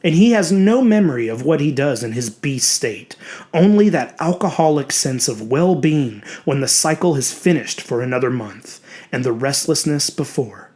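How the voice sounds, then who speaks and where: A man's voice: mid-range voice, lively intonation, normal speed, a little mellow. A man reading a scripted horror story as a dramatic narrator. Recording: music behind the voice. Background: music.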